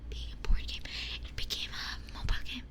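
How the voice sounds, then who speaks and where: A woman livestreamer whispering breathily into a close binaural microphone, chatting casually in ASMR style and often repeating her words. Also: brisk. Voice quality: breathy, mostly unvoiced whisper.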